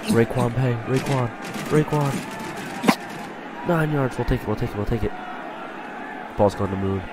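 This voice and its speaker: A man with a stuffy voice from a cold, commentating his own video game play and getting excited on big plays. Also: medium pitch, normal speed, very noisy. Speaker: gaming channel host.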